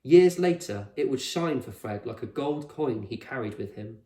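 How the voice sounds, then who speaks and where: Male teacher-narrator, expressive children's storybook read, medium pitch, echoey room. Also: moderate pace. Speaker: teacher reading a children's novel aloud.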